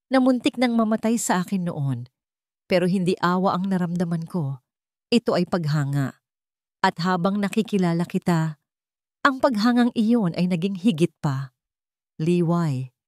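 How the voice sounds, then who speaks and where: A woman voicing a devoted, understanding sweetheart in a romantic story, speaking tenderly and deliberately. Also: on the low side, darkish tone, dry recording, studio quality, close to an everyday voice. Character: devoted, understanding sweetheart confessing deep love.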